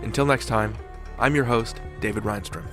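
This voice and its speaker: A man hosting a podcast, reading a scripted outro and credits in a measured, theatrical announcer style. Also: lowish voice, music in the background. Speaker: podcast host.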